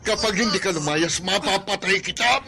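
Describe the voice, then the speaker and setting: Cruel, gravelly Filipino father, raging. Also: sits high, moderate pace. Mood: furious, rejecting. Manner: harsh, threatening. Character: cruel, sickly, raging father rejecting his son. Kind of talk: Filipino radio drama acting. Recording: loud music under the voice.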